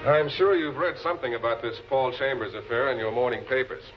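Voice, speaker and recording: An actor with an American accent playing a composed, probing public prosecutor in a 1940s television crime drama. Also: male voice, mid-range voice, a little fast, over music, close to an everyday voice. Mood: brisk, composed. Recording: muffled, noisy old 16 mm film soundtrack.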